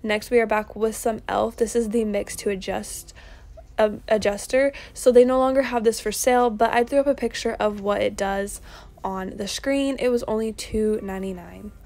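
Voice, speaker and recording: A young woman narrating a casual shopping haul, chatty and relaxed, with lots of ums and likes. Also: mid-range voice, normal speed, vocal fry. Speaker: vlogger.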